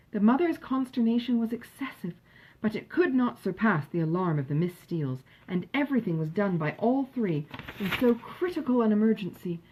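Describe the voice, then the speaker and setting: A woman narrating a classic novel in an expressive, measured storytelling manner, with a slightly husky voice. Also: mid-range voice, muffled recording. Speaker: audiobook narrator.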